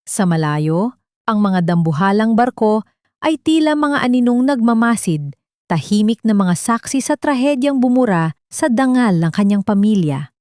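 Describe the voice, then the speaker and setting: A woman's voice: on the high side, sing-song, normal speed, a little mellow, dry recording, studio quality. A woman reading a scripted dramatic story as an audiobook narrator.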